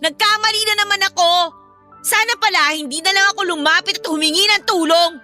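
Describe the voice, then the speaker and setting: A woman plays a sulky younger sister in a Filipino audio drama. The voice is very high and bright with a Filipino accent, wounded and defiant, over soft music. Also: brisk. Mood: defiant, upset. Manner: aggrieved, sulky, defensive.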